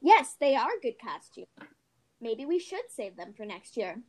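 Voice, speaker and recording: A woman's voice: a little high, big pitch swings, measured pace, a little mellow. A woman playing a spirited, dramatic schoolgirl in an amateur radio-style play. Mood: cheerful, wistful. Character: Molly, indignant wartime American schoolgirl, vengeful, dramatic. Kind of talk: amateur reader's theater podcast.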